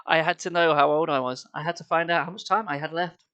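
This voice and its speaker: A man's voice: a little high, steady tone, normal speed, sharp tone, clean tone, lo-fi sound. A man reading a story aloud on a live stream, theatrical and playful, with casual asides.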